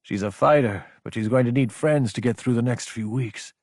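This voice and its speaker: A man voicing a female military superior in an audiobook, gentle, understanding and firmly encouraging. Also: mid-range voice, normal speed, dry recording, clean studio sound, close to an everyday voice. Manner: gentle, reassuring. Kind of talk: audiobook character acting.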